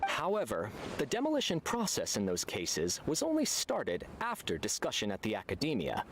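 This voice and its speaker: An actor playing an impartial, measured scholar arbitrating a debate in a video game, formal and pedantic. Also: male voice, mid-range voice, wildly swooping pitch, slight background noise. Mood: judicious. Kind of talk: scripted video game voice acting.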